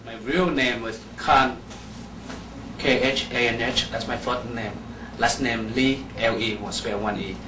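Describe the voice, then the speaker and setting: A man in his thirties from Vietnam with a Vietnamese accent, answering interview questions in plain, halting English. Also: medium pitch, steady tone, music in the background. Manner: plain, halting, non-standard grammar. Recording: echoey room, distant mic, background noise.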